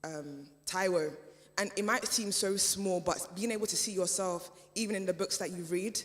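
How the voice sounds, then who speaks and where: A woman whose first language is German, answering on a discussion panel in a measured, hesitant way with many fillers. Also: lowish voice. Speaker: panel speaker. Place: church hall event. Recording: reverberant church hall.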